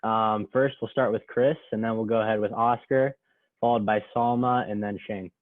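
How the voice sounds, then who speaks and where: A man moderating an online panel in a relaxed, casual way, heard through a tinny video-call connection. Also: on the low side, normal speed, no echo. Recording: tinny dry phone-like call audio.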